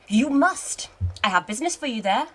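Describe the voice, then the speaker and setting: A seasoned military commander in a radio drama. The voice is fairly high for a woman, with measured, theatrical authority and a wry edge. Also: animated, fairly bright tone. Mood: patient, firm. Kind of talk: radio play acting.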